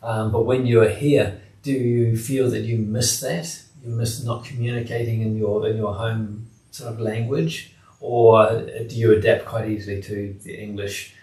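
An older man hosting a casual podcast in a living room, asking long, rambling questions with lots of gentle yeses. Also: on the low side, little pitch movement, unhurried. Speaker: podcast host. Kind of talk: casual interview chat. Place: living room, indoors, medium size. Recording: echoey room, from a distance.

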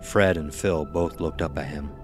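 A man's voice: a bass voice, steady tone, moderate pace. A man reading a scripted horror story as its narrator. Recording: music behind the voice. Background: music.

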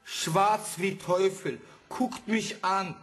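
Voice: medium pitch, fairly even intonation, extremely slow, fairly bright tone, soft background music. An actor playing a tough but friendly street boy who talks in blunt, clipped urban slang in an audio drama. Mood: brash, chummy.

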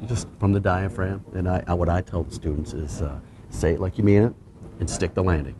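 Instructor, male, deep voice, halting interview answers, noisy room. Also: fairly even intonation. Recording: clear room noise behind.

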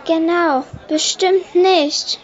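A woman's voice: extremely high pitch, fairly even intonation, very slow, dull audio, exaggerated voice. A woman playing a cheeky, defiant little girl street thief in a children's audio drama. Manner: defiant, mock-innocent.

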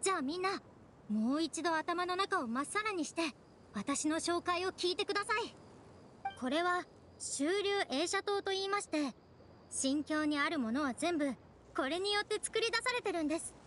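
A super high polite anime game girl, chatty explainer, puts herself down, faint ambience behind. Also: expressive, moderate pace, fairly bright tone, slight background noise. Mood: earnest explaining. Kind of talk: Japanese anime game voice acting.

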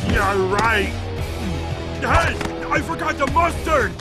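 Big dumb hungry monster, slow and oddly high voice, swoopy, loud music. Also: male voice, expressive, heavily acted. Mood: oafish menace. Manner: slow, oafish. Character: huge, gluttonous, dim male monster, greedy brute. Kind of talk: animated game cutscene acting. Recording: loud music behind the dialogue.

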